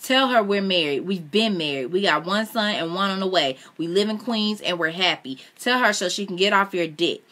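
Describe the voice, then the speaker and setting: A woman's voice: medium pitch, expressive, normal speed, hardly any pauses, bright, forceful tone, voice pushed up. A woman voicing a furious, foul-mouthed young wife, indignant and demanding, as part of a story read aloud.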